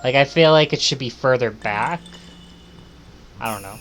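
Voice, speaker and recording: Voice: mid-range voice, moderate pace, a bit bright, soft background music. A streamer reading visual novel text aloud and adding casual commentary.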